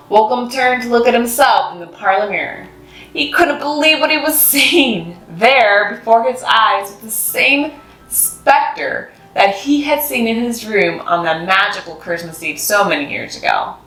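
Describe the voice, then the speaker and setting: A woman's voice: medium pitch, lively intonation, normal speed, a bit bright. Woman reading a children's story in a roomy space. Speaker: host of a children's read-aloud series. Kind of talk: expressive storybook narration for children. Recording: roomy echo with music behind. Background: music.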